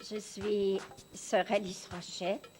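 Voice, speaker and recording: A nun from Canada introduces herself to an interviewer. She talks very slowly, in a voice of middle pitch. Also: little pitch movement, background noise.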